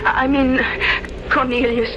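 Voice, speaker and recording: A woman's voice: sits high, little pitch movement, unhurried, over loud music. An actress playing a timid servant girl posing as a lady in a 1970s radio drama, hesitant and deferential. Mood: nervous. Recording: muffled, very noisy old radio recording.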